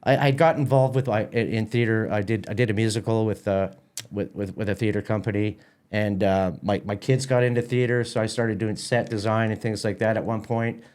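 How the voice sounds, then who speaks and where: A man's voice: on the low side, fairly even intonation, brisk, a little mellow. A middle-aged man with a Canadian accent, giving a casual interview as a theatre company president, often repeating words as he explains.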